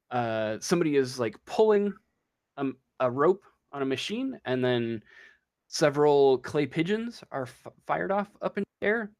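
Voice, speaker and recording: A man running a tabletop game as game master, improvising the narration haltingly as he thinks aloud. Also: medium pitch, a little mellow, smooth.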